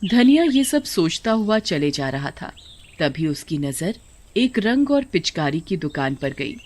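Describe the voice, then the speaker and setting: A woman's voice: lowish voice, very animated, unhurried, a little mellow. A woman narrating a scripted moral story as its storyteller.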